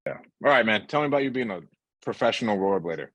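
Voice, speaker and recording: A man's voice: lowish voice, lively intonation, rapid-fire, fairly bright tone, dry recording. A man hosting a casual podcast interview, talking in slangy, bro-heavy language with some swearing. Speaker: podcast host interviewing entrepreneurs.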